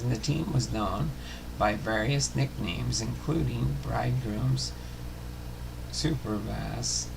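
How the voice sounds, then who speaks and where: A man hosting a baseball history series, reading a biography aloud in a halting way, stumbling and rereading words. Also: medium pitch, gravelly, background noise.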